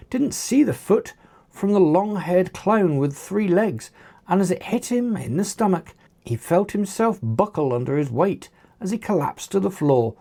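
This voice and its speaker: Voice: medium pitch, creaky, mellow. A narrator reading an action story aloud in a dramatic storytelling manner. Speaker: author reading own book.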